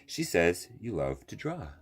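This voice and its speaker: A man's voice: sits low, wildly swooping pitch, unhurried, breathy edge, soft background music, full character voice. A man voicing a friendly, encouraging elderly woman artist in a children's storybook. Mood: friendly, inviting.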